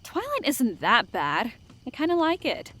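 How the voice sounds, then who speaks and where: A woman playing a mild, welcoming, sensitive young female camp counselor in an audio drama, gentle and polite. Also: high-pitched, huge pitch swings, measured pace, full character voice.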